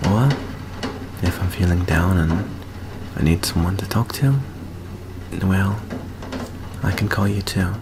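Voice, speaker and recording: A man playing an affectionate, anxious young student in an ASMR roleplay, speaking softly and hesitantly in an intimate hush. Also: deep voice, slow, long pauses, background noise. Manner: soft, hushed, hesitant, intimate. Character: anxious, affectionate young male vampire student, sentimental best friend.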